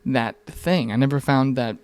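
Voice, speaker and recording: A man's voice: mid-range voice, unhurried, clear, resonant tone. A man in his mid twenties chatting candidly on a podcast in an informal, rambling, earnest way, with some swearing. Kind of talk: casual, candid podcast conversation.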